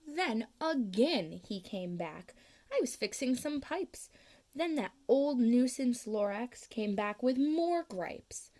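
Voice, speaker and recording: A woman reading a children's storybook aloud in an expressive style. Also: on the high side, takes it slowly. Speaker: storybook reader.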